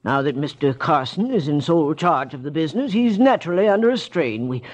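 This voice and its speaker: An actor playing a timid, fussy middle-aged office worker, hesitant and prim, in old-time radio drama style. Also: male voice, on the high side, lively intonation, brisk. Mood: flustered, pleading. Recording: muffled old radio recording.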